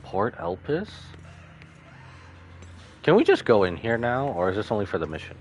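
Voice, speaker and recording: A man streaming a game, reacting and reading the story text aloud in an expressive way. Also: lowish voice, normal speed, soft background music. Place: indoors, live stream with game music behind.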